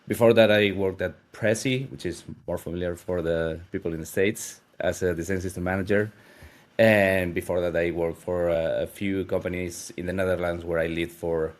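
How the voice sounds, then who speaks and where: Man from spain, really low voice, relaxed intro on a call. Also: normal speed. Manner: relaxed, loose, stops to restart phrases. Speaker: panel speaker. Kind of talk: self-introduction on a video call.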